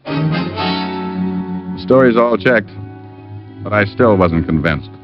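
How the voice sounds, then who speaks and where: A man's voice: sits low, extremely slow. A man playing a sardonic, dogged private detective who narrates his own case and wisecracks in 1940s radio style. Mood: narrating. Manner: wisecracking, hard-boiled, sardonic. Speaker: lead detective and first-person narrator. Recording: dull old radio recording with music.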